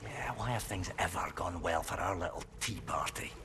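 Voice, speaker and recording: An actor playing a gruff, cynical, foul-mouthed soldier in a video game cutscene, grumbling sardonically. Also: male voice, sits low, normal speed, noisy background, close to an everyday voice. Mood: sardonic grumbling. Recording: loud music over the dialogue.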